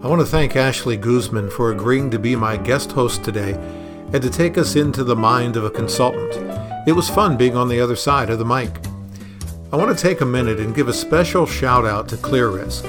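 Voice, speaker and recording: Male podcast host in the guest seat: conversational, measured, mid-pitched voice, explanatory delivery. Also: over music. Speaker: podcast host being interviewed as a consultant.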